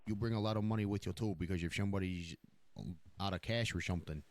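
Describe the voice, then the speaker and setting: A man's voice: deep voice, fairly even intonation, fast, no room sound, close to an everyday voice. A man playing a blunt, profane, commanding New York mob boss in an improvised livestream roleplay. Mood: instructing. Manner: blunt, profane, giving orders.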